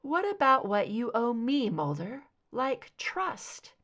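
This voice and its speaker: A woman's voice: a little high, sing-song, takes it slowly, a bit bright, heavily acted. A woman reading a weary, proud female agent in an audiobook, clipped, guarded and defensive. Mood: hurt, challenging. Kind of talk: dramatic audiobook character dialogue.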